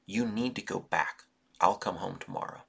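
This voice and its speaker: A man voicing a determined young farm girl who scolds her little brother in a low, hushed tone. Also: moderate pace, creaky, breathy edge, heavily acted. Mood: scolding.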